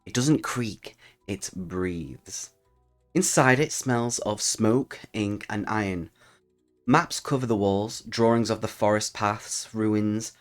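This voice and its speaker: A man with a fairly low voice hosts a tabletop roleplaying channel. He speaks as a storyteller to his audience, with music playing softly behind him. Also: takes it slowly. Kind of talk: storytelling narration and casual channel talk.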